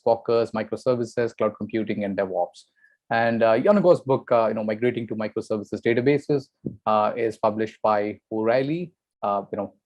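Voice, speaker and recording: Cast: man, webinar host, hesitant and filler-heavy, lowish voice, dull dry call audio. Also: no echo. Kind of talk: webinar introduction.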